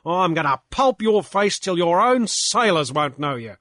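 A man putting on a shrill, loud young drunkard. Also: very animated, unhurried, dry recording, clean studio sound, exaggerated voice. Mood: defiant. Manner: shrill, whining and blustering. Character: young male drunkard, sulky then defiant. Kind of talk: audiobook character voice.

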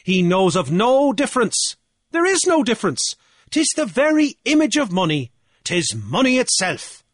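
A man's voice: high-pitched, lively intonation, measured pace, a bit bright, dry recording, clean studio sound, pitched above the performer's own voice. A man voicing a smug, triumphant chancellor in a story, arguing before an island council in an emphatic, challenging, oratorical manner. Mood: assured triumph.

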